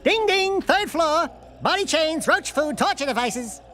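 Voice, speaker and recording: An actor voicing a small, jumpy, wisecracking animal sidekick in a video game cutscene, quick and whiny. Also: male voice, extremely high pitch, animated, sharp tone, exaggerated voice. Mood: chatty, urgent. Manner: fast quippy and whiny.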